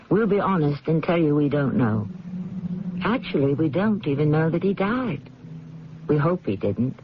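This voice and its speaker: Measured male radio host, slightly high-pitched. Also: a little hiss or hum. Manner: measured, formal, philosophical. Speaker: radio drama host and narrator. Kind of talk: old-time radio scripted narration. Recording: dull old radio recording.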